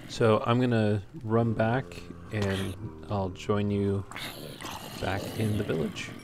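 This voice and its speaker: A man's voice: on the low side, flat delivery, slow. A man giving casual, unscripted gameplay commentary as a let's play host, talking in an easygoing, hesitant ramble. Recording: clearly noisy home recording.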